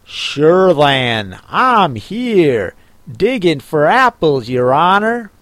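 A man voicing a meek male servant in a storybook, in a slow, humble drawl. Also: on the high side, big pitch swings, fairly bright tone, no echo, heavily acted. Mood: deferential.

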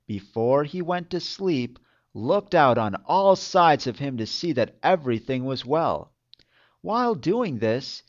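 Slow, expressive male fairytale storyteller. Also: medium pitch, muffled recording.

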